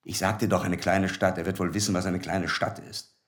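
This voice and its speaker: An actor in a radio play voicing a wealthy, lovestruck, affectedly genteel man in a theatrical manner that swings from giddy to hushed. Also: sits low, fairly even intonation, brisk. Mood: curt, impatient. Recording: long reverb, music underneath.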